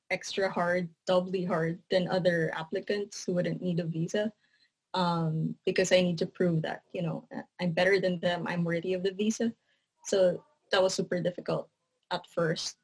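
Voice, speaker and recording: Filipino woman, kinda low husky voice, chatty and earnest, lots of "you know". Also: poor audio. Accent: Filipino English. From Philippines. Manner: relaxed, earnest, says "you know" a lot. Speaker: guest, writer and content editor. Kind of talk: casual interview answers.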